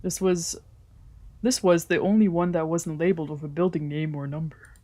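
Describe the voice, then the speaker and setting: Mellow, dark-toned woman narrating a story. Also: on the low side, steady tone, moderate pace. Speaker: streamer reading a visual novel aloud. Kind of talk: expressive scripted first-person story narration.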